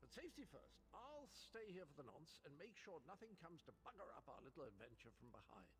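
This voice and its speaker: A man's voice: fairly high pitch, sing-song, brisk, fairly bright tone. A man playing a cheerful, eccentric old British knight in a video game, speaking in a flowery, rambling, polite way. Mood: jaunty, chatty. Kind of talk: video game character acting.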